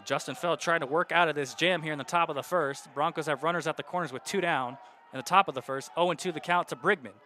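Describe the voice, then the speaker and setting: A young male radio announcer calls a baseball game in a bright, fairly high voice, ticking off counts and runners in a steady flow. Faint outdoor ballpark noise sits behind him. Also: fast talker. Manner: steady count-and-situation narration, rising loud on hits. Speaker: play-by-play announcer.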